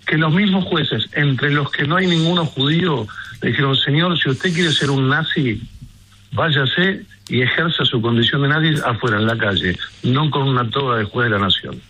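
A man's voice: medium pitch, moderate pace, sharp tone, slight background noise, low-quality recording. An Argentine man speaking as an interview guest, indignant and emphatic, with rhetorical turns. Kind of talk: emphatic opinion in a radio interview.

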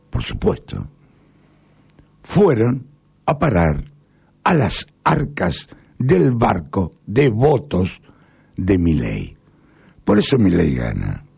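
A middle-aged Argentine man speaking in a rambling, halting monologue with sudden emphatic rises. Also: fairly low pitch, gravelly, mellow, husky. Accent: Argentine Spanish. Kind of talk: casual spoken monologue.

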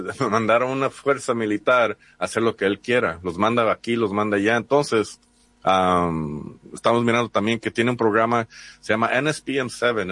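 A man's voice: lowish voice, a bit bright, no room sound. A man from the United States with a Mexican accent, a reporter answering interview questions hesitantly, with many fillers and restarts.

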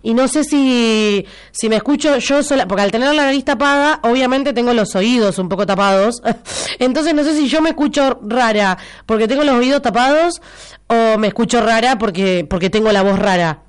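An Argentine woman hosting a local radio show in a studio, speaking with a somewhat high, clear, smooth voice despite a stuffy nose from a cold. She talks in a chatty, teasing way and repeats key details for her listeners. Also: normal speed. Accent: Rioplatense Argentine Spanish. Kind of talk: casual live radio chat with listener messages.

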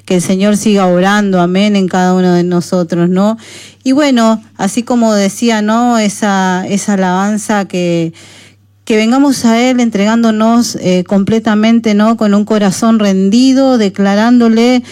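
A woman's voice: mid-range voice, steady tone, moderate pace, a little mellow, no echo, clean studio sound. A woman hosting a devotional radio programme, speaking in a devout, encouraging way and often checking in with short tag questions. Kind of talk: devotional radio talk with listener messages and prayer. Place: radio studio.

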